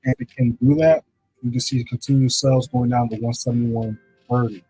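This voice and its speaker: Deep-voiced man talking through trading charts. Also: level tone, a little fast, dark tone, slightly rough, faint music behind, low-quality recording. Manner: loose, repeats "right?" to check in with viewers. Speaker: live-stream trading host. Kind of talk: casual live-stream chart commentary. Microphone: streaming setup microphone.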